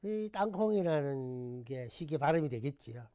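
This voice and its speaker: An older man from the Gyeongsang region with a Gyeongsang accent, a guest telling stories about himself in a relaxed talk-show interview, with chuckles and self-deprecating humor. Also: on the high side, moderate pace. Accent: Gyeongsang Korean. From Gyeongsang province, Korea. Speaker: guest, former education official.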